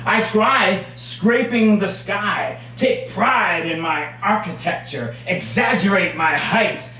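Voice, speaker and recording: A man performing his own poetry at a live reading, with measured, dramatic phrasing and deliberate pauses. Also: sits high, pushed and bright, soft background music. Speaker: poet reading own work. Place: indoors at a cafe poetry reading. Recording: very echoey room, distant mic, faint noise.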